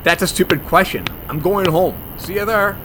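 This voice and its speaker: Man playing a fussy, high-voiced monster hunter. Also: normal speed, background noise, lightly acted. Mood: dismissive, deadpan. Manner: deadpan, argumentative. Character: fussy germophobic male monster hunter, blunt, bossy, irritable. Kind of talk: comedy horror audio drama acting.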